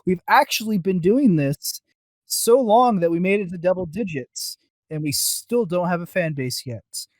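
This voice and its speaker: Higher-voiced man, dry podcast sound. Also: lively intonation, measured pace, dry recording. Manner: rambling, self-deprecating. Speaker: podcast co-host. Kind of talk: casual review podcast chat.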